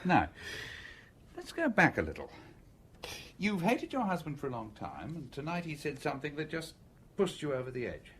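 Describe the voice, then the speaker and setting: An actor with a British accent playing an inquisitive, composed engineer in a radio drama, urbane and conversational. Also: male voice, a little high, wildly swooping pitch, moderate pace, a bit bright, slight background noise. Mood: probing. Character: inquisitive, coolly composed stranger, a male engineer.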